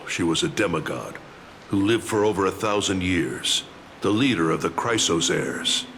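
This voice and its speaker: A dutiful fantasy-game lord with an extremely low voice. His delivery is formal, grave and measured, over clear background music. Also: bright, forceful tone, exaggerated voice. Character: grave, dutiful male lord commanding city guards. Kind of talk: video game voice acting.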